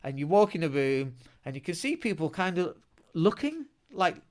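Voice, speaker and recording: A man's voice: on the high side, sing-song, normal speed, a little mellow. A middle-aged man with a Leeds accent hosting a solo podcast in a chatty, blunt way, with self-mocking humour. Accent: Northern English, Leeds. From England. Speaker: podcast host. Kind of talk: casual solo podcast talk.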